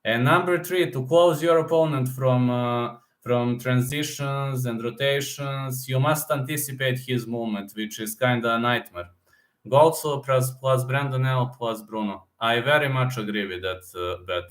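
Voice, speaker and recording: A man's voice: mid-range voice, unhurried. Man, casual stream chat, rambling repeats, roomy echo. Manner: rambling, repeats words, restarts sentences often. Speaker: MMA fighter and betting-picks streamer. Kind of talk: casual live-stream chat reading comments. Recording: echoey room.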